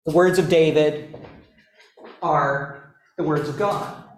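Male lecturer, unhurried and measured, slightly high voice, echoey classroom. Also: steady tone, a little hiss or hum. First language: not Estonian. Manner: measured, deliberate lecturing with pauses. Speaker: teacher.

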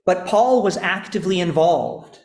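A man teaching a lecture class, speaking in a measured, deliberate way with many pauses. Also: sits high, expressive, creaky, a little mellow, roomy sound. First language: not Estonian.

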